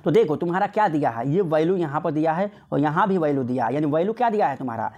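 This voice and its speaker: A man's voice: fairly high pitch, lively intonation, fast, a little mellow, lo-fi sound. A man teaching an informal exam-prep maths lesson step by step, often repeating himself and answering his own questions. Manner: repetitive, asks questions and answers them himself.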